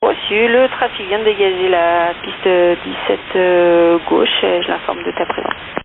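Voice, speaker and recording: A woman's voice: lowish voice, steady tone, measured pace, vocal fry. A woman working as an air traffic controller, giving procedural instructions phrase by phrase over the radio. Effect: aviation radio. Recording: hissy narrowband aviation radio, heavy static.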